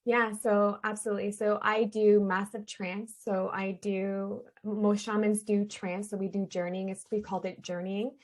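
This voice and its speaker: A woman's voice: mid-range voice, level tone, moderate pace, hardly any pauses. A woman from Canada, a guest on an interview podcast, earnestly explaining her spiritual healing practice in a conversational way. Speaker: interview guest, shaman and energy healer.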